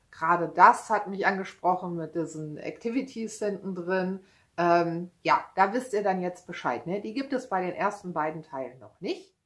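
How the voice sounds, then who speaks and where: Book vlogger, woman in her thirties, unhurried and rambling, lots of "ne?" and "ähm". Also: fairly low pitch, husky. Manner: chatty, many fillers and tag questions, self-deprecating laughs. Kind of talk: casual book chat. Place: home room with bookshelves, indoors.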